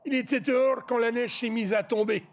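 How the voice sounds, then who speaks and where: An actor voicing a gentle, tender-hearted farmer in a French-dubbed children's cartoon, soothing and tender. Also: medium pitch, fairly even intonation, a little fast, natural voice. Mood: tender, grateful.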